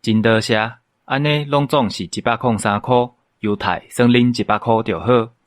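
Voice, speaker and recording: A man's voice: mid-range voice, moderate pace, no room sound, natural voice. A man playing a polite, obliging restaurant waiter in a radio drama, with a Taiwanese accent. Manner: courteous.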